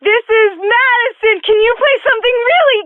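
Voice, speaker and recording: A woman's voice: very high-pitched, normal speed, no echo. A woman playing a scatty teenage party girl calling a video game radio show over a tinny phone line. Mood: giddy.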